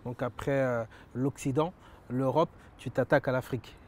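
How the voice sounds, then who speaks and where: A man's voice: mid-range voice, fairly even intonation, moderate pace, darkish tone, a little hiss or hum. A man interviewing a guest, asking questions and encouraging him with short acknowledgments.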